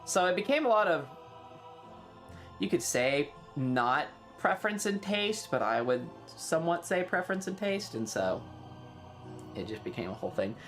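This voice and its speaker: A man chatting casually on a livestream, rambling and halting, swearing freely as he talks. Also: on the high side, very animated, fairly bright tone, soft background music. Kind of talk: casual rambling stream chat.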